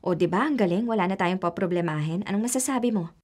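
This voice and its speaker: An actress with a Filipino accent playing a practical, doting and excitable middle-aged wife and mother in a radio drama. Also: medium pitch, fast talker, lightly acted. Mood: excited persuasion. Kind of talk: Tagalog radio drama acting.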